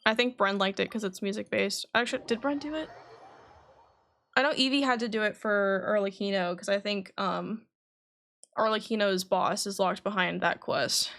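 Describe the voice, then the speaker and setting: A woman's voice: medium pitch, normal speed, fairly bright tone. A woman streaming a game, chatting casually to viewers as she plays. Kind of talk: casual livestream chat and reading game dialogue aloud. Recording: faint background noise.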